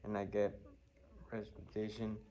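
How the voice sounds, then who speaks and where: A man's synthetic voice reading a children's novel as scripted narration, flatly and with odd stumbles. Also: lowish voice, measured pace. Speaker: audiobook reader.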